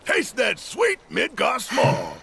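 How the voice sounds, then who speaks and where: An actor playing a big, gruff, brash rebel fighter with a warm heart and a gravelly voice in a video game. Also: male voice, very high voice, wildly swooping pitch, takes it slowly, a little hiss or hum, full character voice. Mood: exuberant. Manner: brash, emphatic, folksy. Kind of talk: dramatic video game acting.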